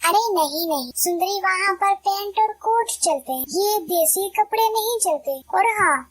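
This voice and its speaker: A very high-voiced, sweet-talking cartoon bird who schemes against her friend, as a woman puts her on. She coaxes and reassures without pause. The voice is dry and close, with no room sound. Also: no echo. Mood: coaxing. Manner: coaxing, persuasive, reassuring.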